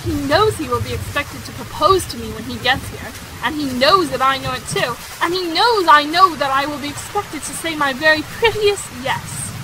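A woman's voice: sits high, expressive, normal speed, hardly any pauses, background noise. A woman acting a candid, outspoken, headstrong young girl in a period romantic audio drama, with a theatrical, emphatic manner. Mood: pleading, bitter.

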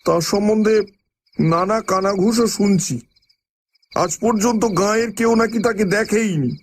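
Husky, high-voiced, halting station master. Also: male voice. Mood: ominous, secretive. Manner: halting, hesitant, full of pauses and murmured interjections. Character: ominous gossiping village station master, male, wary and halting. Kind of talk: Bengali audio drama acting.

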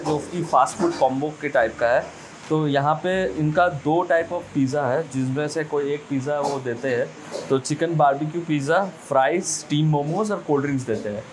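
A man's voice: a little high, background noise. A man in his thirties reviewing food in a casual, chatty way, mixing in English phrases, on a lapel mic in a restaurant. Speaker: food vlogger. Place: small restaurant indoors, later busy street outside.

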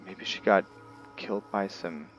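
A man's voice: deep voice, measured pace, rough recording. A man giving casual roleplay commentary on a video game, often hesitant and trailing off mid-thought. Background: background music.

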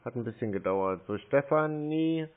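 A man posing as a call target on a long phone call, talking slowly and hesitantly, stalling with hums, fillers and small complaints. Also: medium pitch, animated, clear, resonant tone. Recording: tinny phone line.

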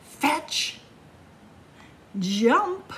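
A woman's voice: sits high, big pitch swings, very slow, fairly bright tone. A woman reading a picture book aloud at story time, playfully talking straight to young children in a sing-song way. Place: public library room among bookshelves.

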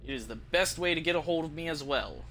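Male game streamer, slightly high voice, loose rambling chat, clear background game noise. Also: fast talker, a bit bright. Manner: informal, rambling. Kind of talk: casual livestream chat over gameplay. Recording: noisy stream mic with game ambience. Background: game audio.

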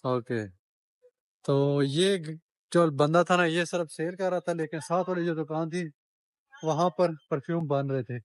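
A man's voice: a little high, a little slow, no echo. Man, travel vlogger, chatty, haggling over prices, outdoor market, handheld camera. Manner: chatty, bargains with shopkeepers, addresses viewers as brothers. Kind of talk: walking-tour vlog narration.

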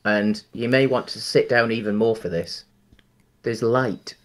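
Lower-voiced man rambling on a video call. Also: fairly even intonation, unhurried. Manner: hesitant, many ums and restarts. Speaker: podcast co-host. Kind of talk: casual film discussion. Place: small room at home. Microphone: headset on a video call.

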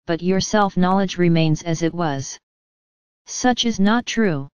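A woman with a lowish, exceptionally smooth and clear voice reads a spiritual message in a measured, earnest way, speaking straight to the listener. The voice-over is dry and close, with a slightly dull, lo-fi sound. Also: darkish tone, dry recording. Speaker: narrator reading a channelled spiritual message. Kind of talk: scripted spiritual narration.

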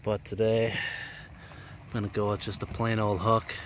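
A man's voice: on the low side, little pitch movement, measured pace, noisy background. Easygoing man fishing from a kayak. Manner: easygoing, folksy, chatty. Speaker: fishing vlogger. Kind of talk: casual narration while fishing. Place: outdoors on a lake in a kayak. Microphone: action camera.

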